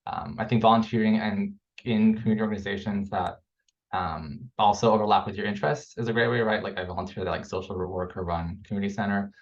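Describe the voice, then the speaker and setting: A young man, a clinical psychology graduate student, hoarse and losing his voice, answering a panel question over a video call with many fillers. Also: lowish voice, lo-fi sound. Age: young adult.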